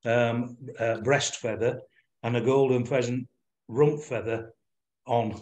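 A man's voice: mid-range voice, fairly even intonation, slow, a little mellow. Older Yorkshire man explaining his craft. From Yorkshire, England. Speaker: fly-tying demonstrator. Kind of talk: informal demonstration with questions and answers. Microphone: video call.

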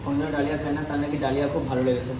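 A man's voice: on the high side, little pitch movement, rapid-fire, lo-fi sound. A young man chatting playfully and casually as a vlogger. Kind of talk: casual vlog chat. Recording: roomy, off-mic, clear ambient noise.

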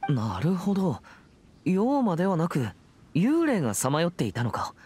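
A woman's voice: fairly low pitch, wildly swooping pitch, normal speed, warm tone, a little hiss or hum. A woman voicing an earnest, stern teenage boy exorcist in an anime game, serious and formal. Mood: thoughtful.

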